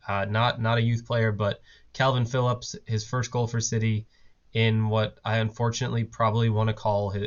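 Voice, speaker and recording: A man hosting a casual solo fan podcast, his voice hoarse from a cough, talking in a rambling way with lots of fillers and restarts. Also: mid-range voice, muffled recording. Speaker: podcast host. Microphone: desk mic, on video.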